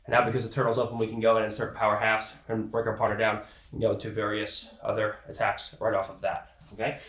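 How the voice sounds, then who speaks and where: A man's voice: lowish voice, completely flat delivery, quick pace, fairly bright tone, echoey room, rough recording. A man with a cold teaching grappling techniques in a casual, explanatory way, often checking in with "okay". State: has a cold, sniffling. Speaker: grappling instructor. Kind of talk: instructional teaching.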